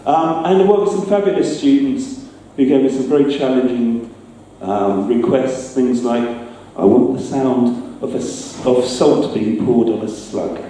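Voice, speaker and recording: A middle-aged man giving an informal talk, speaking hesitantly with pauses mid-phrase. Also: mid-range voice, mellow. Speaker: sound designer giving a talk. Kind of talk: informal public talk with slides. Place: large echoey room, speaking to an audience. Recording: distant, very echoey room with some noise.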